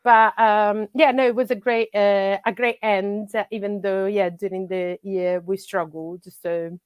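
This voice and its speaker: A woman's voice: mid-range voice, fairly even intonation, a little slow, clear, resonant tone, dry recording. A middle-aged Italian woman, a soccer coach, talking in a podcast interview with many fillers and restarts. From Italy.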